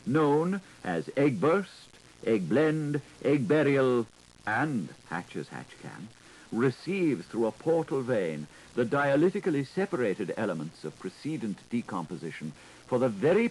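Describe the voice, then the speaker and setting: A man reading a literary audiobook in a theatrical, deliberate, declaiming manner, on a 1980s recording. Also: medium pitch, a little mellow, a little hiss or hum, rough recording. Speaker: audiobook reader.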